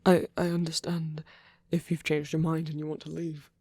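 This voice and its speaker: Performer as a male vampire priest, ASMR roleplay, very breathy whisper, mellow, shy, devoted, halting delivery, close dry sound. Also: medium pitch, flat delivery, dry recording. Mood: nervous, apologetic. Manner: hesitant, halting, tender.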